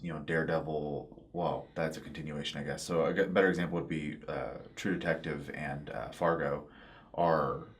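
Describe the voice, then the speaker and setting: A man co-hosting a casual panel chat, making his points in a measured, qualifying way. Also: rumbling low, fairly even intonation. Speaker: podcast co-host. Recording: clear background noise.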